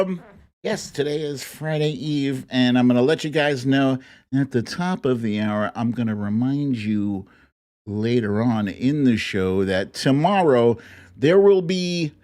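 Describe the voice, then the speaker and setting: A man hosting a live streamed show, chatting to viewers in a playful, theatrical way with drawn-out exclamations. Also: medium pitch, animated, darkish tone. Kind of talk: live chat-show talk, greeting viewers by name.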